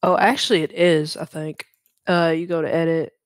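A young man from Louisiana hosting a casual, unscripted screen-share tutorial, halting and correcting himself as he goes. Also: fairly high pitch, level tone, clean tone, no echo, studio quality. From Louisiana, United States. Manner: hesitant, halting, self-correcting. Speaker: tutorial host. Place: indoors at a computer. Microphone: USB microphone.

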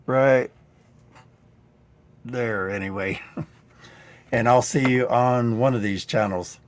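A man in his sixties hosting a live DIY training stream, going a bit hoarse, explaining tricks in a dry-humored, rambling way on a headset mic indoors. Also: medium pitch, slow pace. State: getting hoarse. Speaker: DIY channel host. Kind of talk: live-stream teaching chat. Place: indoor studio set.

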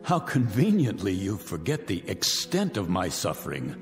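Radio-drama villain, vengeful rich man, low lively voice, sardonic, long reverb, music under. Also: moderate pace, heavily acted. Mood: cold mocking. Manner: sardonic, icy taunting. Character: bitter vengeful male millionaire, cold and mocking.

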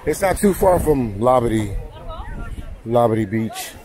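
A man's voice: medium pitch, big pitch swings, unhurried, darkish tone, a faint crowd. A man with an American accent, a travel vlogger talking casually and slangily, often repeating himself. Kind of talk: casual vlog narration and chat. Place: outdoors on a busy beach, walking. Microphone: handheld camera held by the speaker. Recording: outdoor beach with people chattering around.

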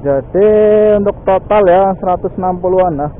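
A man talks casually as he rides a motorbike, scattering little fillers through every sentence. His voice sits high and sounds thin and phone-like. Faint traffic hums underneath. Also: fairly quick. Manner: chatty, lots of "ya" and "guys" fillers. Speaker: motovlog host. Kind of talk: casual chat while riding. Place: riding a motorbike on city streets outdoors.

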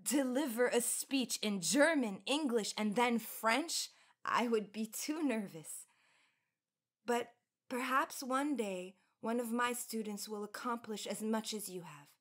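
A woman's voice: on the high side, slow. An actress playing an earnest, proud young college woman of the 1910s with formal, careful elocution in a radio drama. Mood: admiring, earnest. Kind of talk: period radio drama acting.

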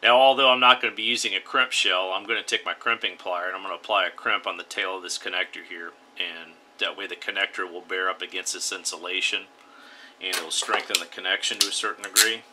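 Host, male, deep bright voice, plain step-by-step tutorial delivery, slight hiss behind. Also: steady tone, fast. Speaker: radio hobby channel host. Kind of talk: DIY tutorial voice-over.

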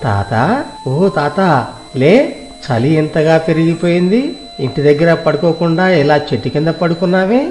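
A man plays a good-natured young cloth pedlar from a village in a moral tale. His voice sits a little high for a male, with a roomy echo around it. Faint music plays underneath. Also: a little mellow, lightly acted. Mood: concerned. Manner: sing-song drawn-out street calls when hawking. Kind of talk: acted audio moral story. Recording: echoey room sound, music behind.

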